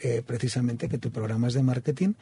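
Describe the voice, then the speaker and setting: Mellow, husky man with a Castilian accent. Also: medium pitch, fast talker. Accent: Castilian Spanish. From Spain, Madrid. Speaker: interview guest, coaching school president. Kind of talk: radio interview. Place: radio studio booth.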